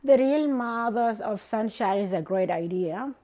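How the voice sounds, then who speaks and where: A woman's voice: on the high side, expressive, a little slow, mellow, highs cut off. A middle-aged woman from Sudan, speaking earnestly about her family and community. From South Sudan. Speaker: community mother.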